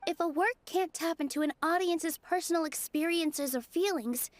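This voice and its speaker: A woman's voice: very high-pitched, moderate pace, dry recording. A woman voicing an earnest young female dancer in a video game, speaking articulately and firmly. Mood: earnest argument.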